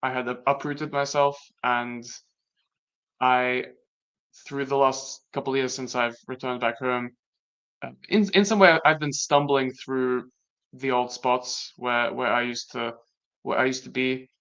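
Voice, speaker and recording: Latvian man talking in halting reflection. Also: mid-range voice, steady tone, fairly bright tone. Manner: reflective, halting, with many restarts. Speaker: guest. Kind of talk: casual conversation. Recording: dull lo-fi sound.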